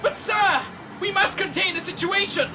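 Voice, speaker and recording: A woman's voice: very high-pitched, moderate pace, very noisy. A woman playing a dutiful, panicky park official with an urgent, formal manner in an audio drama. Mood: alarmed. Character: panicky, dutiful park announcer pleading for order. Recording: distant voice over music.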